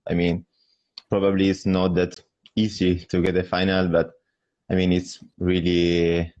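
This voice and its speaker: Young southern Italian man, relaxed and modest, low voice, lo-fi video-call sound. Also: measured pace. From Calabria, southern Italy. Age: young adult. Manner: modest, relaxed, leans on "I mean" and "yeah". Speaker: guest, bassoonist. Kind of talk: interview answers. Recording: dull lo-fi video call audio.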